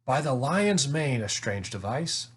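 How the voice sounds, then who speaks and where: A man's voice: medium pitch, sing-song, unhurried, a bit bright, slightly rough, exaggerated voice. A man voicing a noble, resolute king in a storybook, speaking in formal, archaic courtly language. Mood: wondering. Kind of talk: storybook read-aloud.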